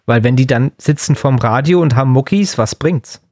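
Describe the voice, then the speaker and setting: A man's voice: mid-range voice, moderate pace, a little mellow, no room sound, studio quality. A man co-hosting a podcast in casual, joking chat. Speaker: podcast co-host.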